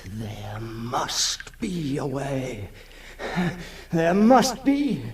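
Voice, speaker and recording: An actor playing a haunted, lonely male outcast in a halting, theatrical 1960s radio drama monologue, intense and tormented. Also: medium pitch, big pitch swings. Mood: desperate yearning. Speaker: actor narrating as the character. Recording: noisy 1960s radio recording.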